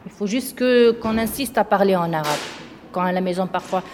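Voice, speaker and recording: Mom in her 30s talking fast, stops and restarts a lot, kinda searching for words. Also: medium pitch, a crowd in the background. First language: Moroccan Arabic. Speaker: parent. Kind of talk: casual interview.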